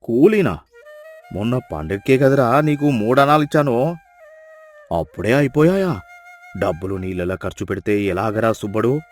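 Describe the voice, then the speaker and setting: A man's voice: mid-range voice, expressive, normal speed, long pauses, soft background music, lightly acted. A man voicing a miserly, cunning village farmer in a moral story, whining and grumbling with exaggerated excuses. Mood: complaining, evasive. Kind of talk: dramatised moral story voice acting.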